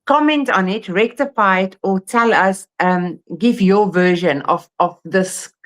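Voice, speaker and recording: A woman's voice: medium pitch, measured pace. A woman, a podcast host and pet nutritionist, talking in an emphatic, earnest way. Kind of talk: casual podcast chat.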